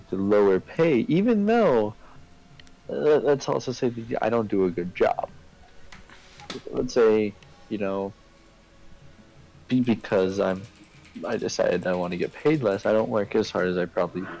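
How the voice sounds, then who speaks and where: A man's voice: lowish voice, gravelly, mellow, low-quality recording. A man chatting casually on a game stream, explaining things in a rambling way and checking in with the listener. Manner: rambling, explains with hypotheticals and tag questions. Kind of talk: casual chat during a game livestream.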